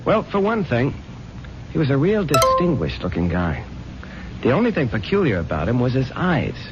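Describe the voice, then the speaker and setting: An actor in a 1970s radio drama playing an eager newspaper reporter, earnest, persistent and informal. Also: male voice, mid-range voice, huge pitch swings, moderate pace. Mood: intrigued. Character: eager male newspaper reporter pleading his story. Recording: dull, hissy vintage radio recording.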